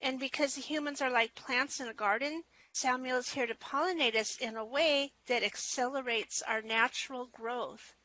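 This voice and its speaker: A woman's voice: high voice, fairly even intonation, normal speed, hardly any pauses, sharp tone, no room sound, muffled recording. A woman co-hosting a spiritual talk show, speaking earnestly and enthusiastically over a video call. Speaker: co-host.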